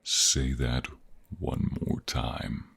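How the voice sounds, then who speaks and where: Man acting a gravelly, very deep thunder god. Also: flat delivery, very slow, a bit bright, full character voice. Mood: indignant, boastful. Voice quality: gravelly, husky. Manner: boastful, pompous, easily provoked. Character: proud, boastful, hungover Norse thunder god, burly and gruff. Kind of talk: fantasy audio roleplay acting.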